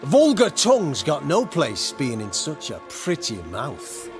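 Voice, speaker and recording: A man's voice: a little high, wildly swooping pitch, measured pace, soft background music, heavily acted. An actor playing a brutish, menacing witch hunter in a video game, with a taunting, crude manner.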